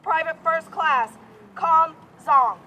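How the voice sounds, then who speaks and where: A woman at an outdoor rally reading victims' names and ranks in a slow, steady, formal way. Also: very high voice, lo-fi sound, a little hiss or hum. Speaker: rally speaker.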